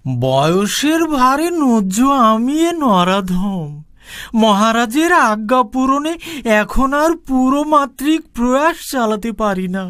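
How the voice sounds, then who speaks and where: A man voicing a frail, humble elderly court poet in a historical cartoon, speaking slowly and formally. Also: very high voice, sing-song, fairly bright tone, no room sound, studio quality, exaggerated voice. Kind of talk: animated cartoon voice acting.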